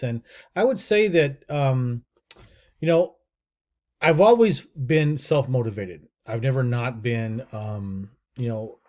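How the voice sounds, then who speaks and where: Rambling middle-aged man, mellow voice. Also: medium pitch. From United States. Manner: rambling, many fillers and restarts. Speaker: real estate entrepreneur and channel host. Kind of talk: casual unscripted talking-head video.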